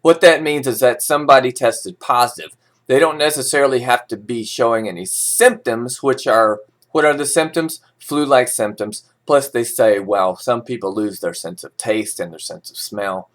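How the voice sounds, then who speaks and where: A man's voice: mid-range voice, moderate pace. A man reading financial news as an informal host, breaking into sarcastic, opinionated and folksy asides.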